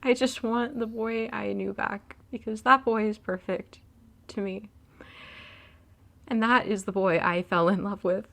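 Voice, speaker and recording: A woman's voice: medium pitch, steady tone, unhurried, gravelly, fairly bright tone. A young woman acting a tearful, pleading young woman who is heartbroken and still in love, in an audio drama. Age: young adult.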